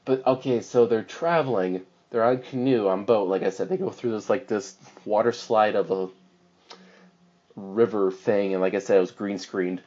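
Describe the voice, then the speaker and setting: A man's voice: fairly low pitch, normal speed. Rambling man recapping a film, lo-fi. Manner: rambling, animated, slips into silly put-on voices for characters. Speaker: podcast co-host reviewing films. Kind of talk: casual chat, recapping a movie. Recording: dull lo-fi podcast audio.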